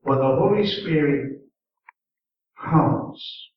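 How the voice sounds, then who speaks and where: A male preacher whose first language is Mandarin Chinese, delivering a sermon in an unhurried way with long pauses and gentle emphasis. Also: a little high. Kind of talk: slow, deliberate sermon. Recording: echoey hall, distant mic, dull lo-fi sound.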